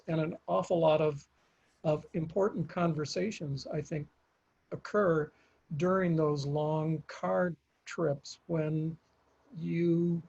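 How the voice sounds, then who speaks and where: An older man, an author, speaking in a panel conversation in a halting, reflective way with frequent pauses. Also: a little high, creaky, a little mellow, slightly rough. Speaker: author guest. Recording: dull lo-fi audio.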